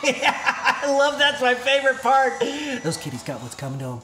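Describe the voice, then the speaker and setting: A man's voice: very high voice, big pitch swings, normal speed, pushed and bright, roomy sound. A middle-aged man playing a goofy, clueless father in a comedy sketch at home. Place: home hallway by bathroom door.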